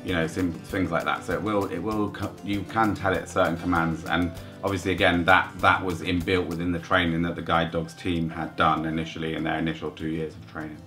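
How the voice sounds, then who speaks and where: A man's voice: low voice, level tone, brisk, hardly any pauses, bright, forceful tone, faint music behind. A man in his thirties plainly explaining guide dog training, interviewed indoors by a window. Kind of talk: interview talk.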